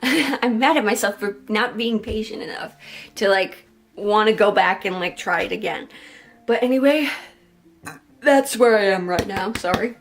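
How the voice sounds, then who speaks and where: A woman's voice: medium pitch, normal speed. A woman hosting a sewing vlog in a chatty, jokey, self-deprecating way, moving between casual asides and scripted narration. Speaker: sewing vlogger and host.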